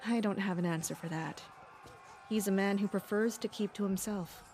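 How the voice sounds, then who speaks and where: A woman playing a composed, perceptive castle guard captain in an audio drama, calm, formal and matter-of-fact. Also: mid-range voice, moderate pace, a little hiss or hum, close to an everyday voice. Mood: curious, knowing.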